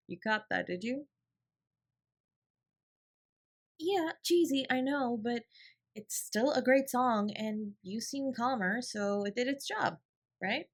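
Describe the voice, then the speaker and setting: A woman playing a protective, tender older sibling in an intimate audio roleplay, with a soft, breathy, reassuring delivery. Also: on the high side, lively intonation, slow, heavily acted. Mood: warm, teasing. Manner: gentle, reassuring, lightly teasing.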